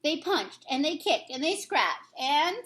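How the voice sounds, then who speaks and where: High-voiced woman reading a storybook. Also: big pitch swings, a little slow, a bit bright. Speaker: reader, teacher. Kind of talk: expressive storybook read-aloud narration.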